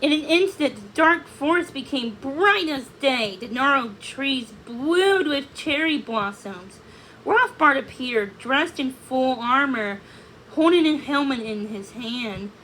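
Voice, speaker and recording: Slow, high-voiced woman reading a storybook. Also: expressive, pushed and bright, slight background noise, poor audio. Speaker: storybook reader. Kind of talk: expressive read-aloud narration.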